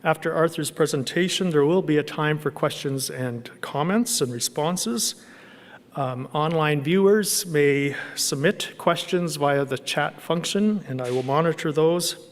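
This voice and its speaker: A man introducing an evening event and announcing its arrangements in a measured way. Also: fairly high pitch, long reverb tail. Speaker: event host.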